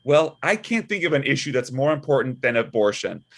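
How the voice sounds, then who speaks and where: A man's voice: medium pitch, sing-song, fairly quick, fairly bright tone. A man playing a blunt, stubborn, unbothered father of a political candidate in a comedic table read. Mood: unbothered, needling.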